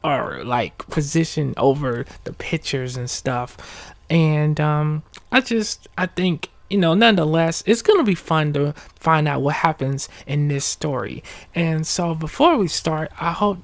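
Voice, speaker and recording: A man hosting an ASMR bedtime story, speaking softly and often whispering, with a relaxed, informal manner. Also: fairly high pitch, measured pace, vocal fry, no room sound. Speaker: ASMR video host and storyteller. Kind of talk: ASMR bedtime story reading, casual asides.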